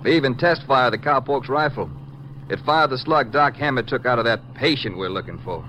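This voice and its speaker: A man's voice: mid-range voice, fairly quick, pushed and bright, slight background noise, lightly acted, low-quality recording. An American actor playing a good-natured, eager cowboy lawman with folksy talk in a 1950s radio western. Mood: curious.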